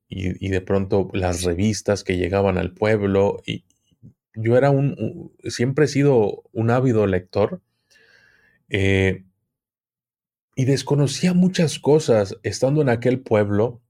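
Slow, low, mellow man reminiscing. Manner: halting, thoughtful, with drawn-out pauses. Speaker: podcast guest, occult documentarian. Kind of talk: reflective interview storytelling.

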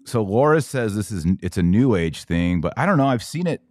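A man with a low-pitched voice, recorded close and dry, gives casual podcast commentary. He explains like a teacher, then slips into informal asides to his listeners. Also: big pitch swings, a little fast, darkish tone, dry recording.

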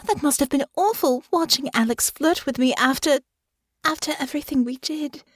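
A woman voicing a shy, flustered young noblewoman who speaks hesitantly and softly in an audiobook. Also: sits high, moderate pace, no room sound, studio quality, voice pushed up, lightly acted. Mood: bashful. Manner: hesitant, breathy and soft-spoken. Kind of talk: audiobook character voice.

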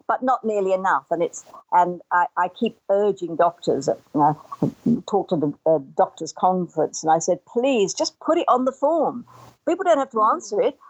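An older woman speaks as an interview guest, conversational but earnest and emphatic. Her pitch sits in the middle range, and the recording is dry, with very little room sound. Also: husky, no echo, highs cut off. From Australia. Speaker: trauma recovery expert, interview guest.

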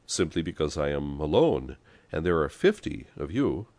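Man playing a quiet, unflappable little gentleman. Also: low voice, expressive, dry recording. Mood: calm. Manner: quiet, courteous, measured. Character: thin, middle-aged gentleman, polite, mild, unflappably calm.

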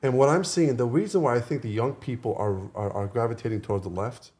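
A man's voice: medium pitch, fast, darkish tone. Man in earnest, casual conversation. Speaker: podcast discussion partner.

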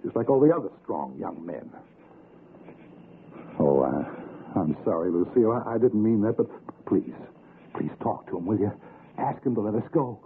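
Frightened, stammering husband, thin radio sound. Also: male voice, lowish voice, lively intonation, normal speed, gravelly, phone-quality sound. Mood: desperate pleading. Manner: stammering, breaking off anxiously. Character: frightened, pleading, aging husband trapped and desperate. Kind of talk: old-time radio suspense acting. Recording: thin, noisy 1960s radio recording.

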